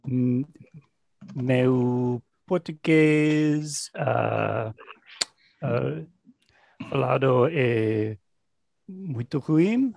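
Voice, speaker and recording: A man with an American English accent speaking halting beginner Portuguese on a video call, pausing often with long filler sounds. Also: mid-range voice, vocal fry, a little mellow. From New Jersey, United States. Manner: hesitant, many drawn-out filler sounds. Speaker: Portuguese learner. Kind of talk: halting beginner speech in a language class.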